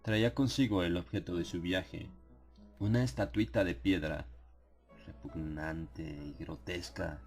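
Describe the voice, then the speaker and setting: A man narrating a dramatized horror audiobook in a measured, solemn literary delivery. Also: sits low, darkish tone. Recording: music behind the voice. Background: atmospheric music.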